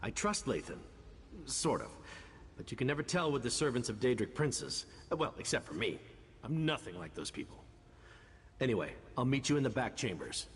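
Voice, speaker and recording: A man's voice: medium pitch, big pitch swings, normal speed. An actor playing a wary, cocky, good-humored male adventurer knight in a video game, with an informal, wry delivery. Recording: hall reverb, clear background noise.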